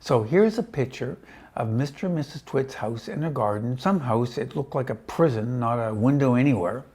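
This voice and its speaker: A man with a mid-pitched, husky voice reads a children's story aloud. His delivery is casual and conversational, and he breaks off for chatty asides to whoever is listening. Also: moderate pace, darkish tone. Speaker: storybook reader.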